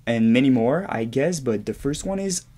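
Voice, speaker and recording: A man's voice: mid-range voice, expressive, normal speed, dark tone. A man in his early twenties from Quebec with a Québécois French accent, a native French speaker hosting his own podcast in English, rambling casually with restarts and swearing, recorded in his bedroom. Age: 20s. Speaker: podcast host. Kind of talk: unscripted solo podcast monologue. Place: his bedroom in a shared apartment.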